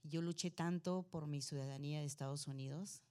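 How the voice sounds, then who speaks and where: A woman giving a halting personal testimony as a missionary guest at a church. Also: low voice, steady tone, darkish tone, clear, resonant tone.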